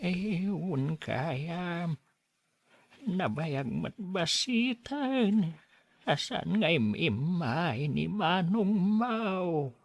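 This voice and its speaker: A woman playing a frail, kindly elderly father in an audio drama, with a raspy, halting delivery. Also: fairly low pitch, sing-song, no room sound, exaggerated voice. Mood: gentle, weary. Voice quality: raspy, creaky. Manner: halting, slow. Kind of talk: radio drama acting.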